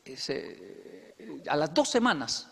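A Colombian man in his thirties giving a lively stage talk into a handheld microphone, telling stories and acting out the dialogue. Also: fairly high pitch, gravelly, breathy edge. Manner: lively, acts out dialogue with quick asides. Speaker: motivational speaker. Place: large indoor stage hall. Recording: long hall reverb.

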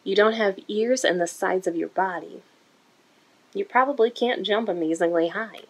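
A woman reading a children's picture book aloud in an expressive, deliberate way for young listeners, recorded indoors. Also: mid-range voice, husky, poor audio. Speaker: children's story-time reader for a museum. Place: indoor room.

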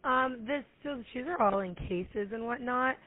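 A woman's voice: fairly high pitch, normal speed. A woman on a telephone line handling a customer call, polite and hesitant. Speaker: phone receptionist. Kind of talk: unscripted customer service call. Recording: narrow, muffled telephone line.

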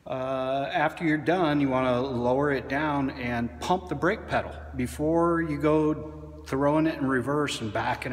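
A man in his forties, a car mechanic, explaining brake service in a casual how-to style, filming himself on a phone in his repair shop. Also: mid-range voice. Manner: plain-spoken, frequent "uh" fillers. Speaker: auto mechanic. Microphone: handheld phone camera at arm's length. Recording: reverberant shop room.

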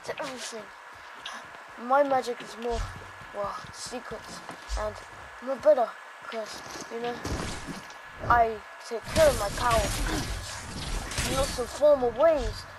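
A woman playing an exasperated, boastful male sorcerer hero in an improvised amateur game roleplay, speaking haltingly. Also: fairly high pitch, close to an everyday voice. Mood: scornful. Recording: noisy amateur game-capture audio.

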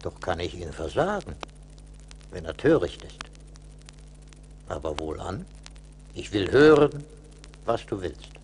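An actor playing a stern but pitying ancient little forest spirit in a radio play, solemn and admonishing. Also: male voice, deep voice, very animated, slow, long pauses, a little mellow, heavily acted. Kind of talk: 1980s German radio play acting. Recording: faint hiss of old vinyl record.

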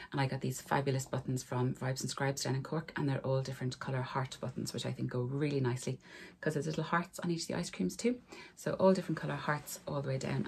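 A woman, hoarse from a cold, chatting casually to camera about her sewing in a small room. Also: rumbling low, a little mellow, a little hiss or hum. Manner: friendly, rambling, talks to camera while showing garments. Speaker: sewing vlogger. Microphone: camera in front of her at desk.

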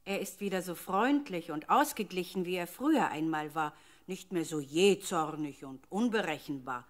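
An earnest palace servant in a Bible radio play. She confides in a medium-pitched voice with wide, lively melody. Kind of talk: German radio play acting.

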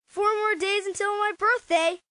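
A woman voicing an eager, excitable young schoolboy in a children's storybook game. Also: very high voice, normal speed, no echo.

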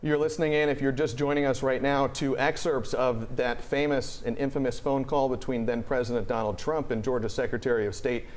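An American man in his thirties explaining news live on air as a TV reporter, in a measured, careful manner. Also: medium pitch, fairly even intonation. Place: television news studio.

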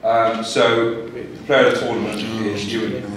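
A man with a low, flat voice speaks informally to a room and swears as he hands out thanks and awards. He is captured from a distance in a very echoey hall, with background noise clearly present. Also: normal speed. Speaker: event organiser giving awards. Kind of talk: speech to a room. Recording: echoey hall heard from far off.

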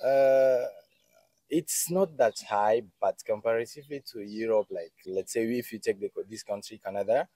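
A young man with a low voice. He answers earnestly, working his way through comparisons. The sound is dry and close, into a handheld mic outdoors. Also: normal speed, warm tone, no echo. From Guinea, West Africa. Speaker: interviewee. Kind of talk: street interview answers. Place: outdoor city street. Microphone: handheld mic held toward him.

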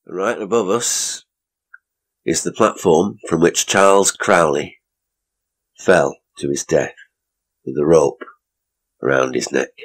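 Man playing a deep, macabre ghost tour guide. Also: takes it slowly, long pauses, heavily acted, poor audio. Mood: macabre, relishing. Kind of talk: horror story acting, showman's patter.

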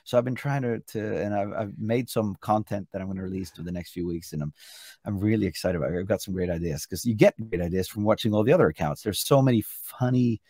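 A middle-aged man with a Norwegian accent, a bit hoarse, chatting eagerly in an interview over a video call with many restarts. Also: on the low side, quick pace, dark tone, no room sound, studio quality. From Norway. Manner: chatty, enthusiastic, frequent restarts. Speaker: singer and contest entrant. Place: hotel room indoors.

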